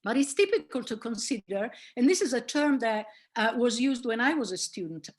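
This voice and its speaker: A woman's voice: fairly high pitch, expressive, normal speed. Woman giving a lecture. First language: Italian. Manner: explanatory, with frequent fillers and restarts. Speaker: linguistics professor. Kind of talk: academic public lecture.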